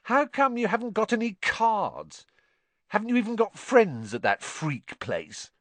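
High-pitched, whiny spoiled bully boy. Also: wildly swooping pitch, a little slow, no echo, full character voice. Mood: jeering, sneering. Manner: jeering, sing-song taunting, stammers in panic.

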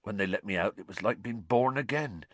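A man voicing a huge, tearful male groundskeeper who talks in a rough dialect. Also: lively intonation, fairly quick, dry recording. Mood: haunted. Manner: rough dialect speech, low and mournful.

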